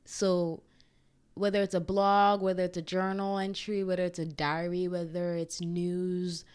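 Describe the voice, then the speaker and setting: A young woman hosting her own podcast, talking casually and upbeat, with lots of "like" and quick restarts. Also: lowish voice, level tone, a little mellow, clear, resonant tone. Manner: chatty and upbeat, full of likes and restarts. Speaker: podcast host. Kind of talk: casual solo podcast talk.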